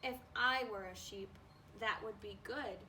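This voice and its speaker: A woman reading a children's storybook aloud in an expressive manner, as a librarian does at story time. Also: mid-range voice, measured pace. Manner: expressive, acts out animal noises. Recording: distant mic with faint background noise.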